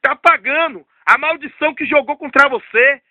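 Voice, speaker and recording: High-voiced preacher in his thirties. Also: moderate pace, no room sound, narrow, tinny audio. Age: 30s. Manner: emphatic, repetitive, testimonial. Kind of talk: fervent storytelling sermon.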